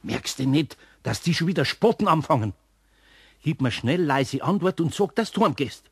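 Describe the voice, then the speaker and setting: A man playing an exasperated older master craftsman with a husky voice and a Bavarian accent in a radio play. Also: on the high side, lively intonation, moderate pace. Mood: scolding. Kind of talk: German radio play acting.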